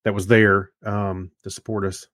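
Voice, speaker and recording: A man's voice: on the low side, level tone, moderate pace, a bit bright, no room sound, clean studio sound. A man co-hosting a tech podcast, chatting in an easygoing way and chuckling between lines. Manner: easygoing, chuckling, reads listener mail. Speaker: podcast co-host. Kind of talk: casual chat.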